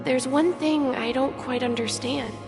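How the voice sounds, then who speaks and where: A woman's voice: sits high, fairly even intonation, measured pace. A woman voicing a thoughtful, hesitant young boy in a game, earnest and solemn. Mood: troubled questioning. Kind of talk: scripted game voice acting. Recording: long hall reverb over music.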